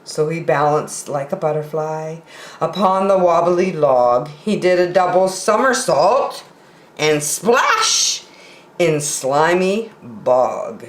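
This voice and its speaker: A woman reads a children's picture book very slowly in a lowish, highly animated voice. She leaves dramatic pauses between phrases, and the room is echoey. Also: slight background noise. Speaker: children's story time reader. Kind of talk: expressive picture-book reading.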